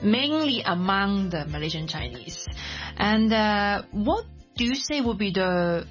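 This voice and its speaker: A woman from Hong Kong hosting a casual interview, lively and quick to laugh. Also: medium pitch, lo-fi sound, faint music behind. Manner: lively, laughs often, many backchannels. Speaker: host.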